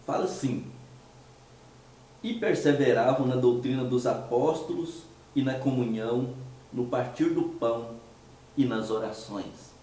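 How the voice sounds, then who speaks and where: A hoarse man with a Mineiro Brazilian Portuguese accent talks through his commentary at a relaxed, unhurried pace. His voice is dark and mellow, with rhetorical questions and hesitant restarts. He sits well back from the mic in a very echoey room, with faint noise underneath. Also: medium pitch, distant mic. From Minas Gerais, Brazil. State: hoarse from a cold. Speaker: host of a commentary show. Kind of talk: casual monologue commentary.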